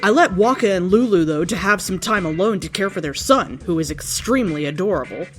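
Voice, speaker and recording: A woman's voice: fairly low pitch, lively intonation, a little fast, soft background music. A woman reading fan fiction aloud as narrator, in a mock-serious comic style. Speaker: story reader.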